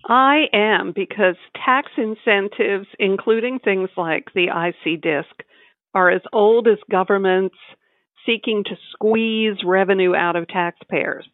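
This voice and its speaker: A woman giving explanatory answers as an interview guest, articulate and measured, speaking in full, well-formed sentences. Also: medium pitch, no room sound. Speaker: tax advisor, interview guest. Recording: tinny phone-like line, dry.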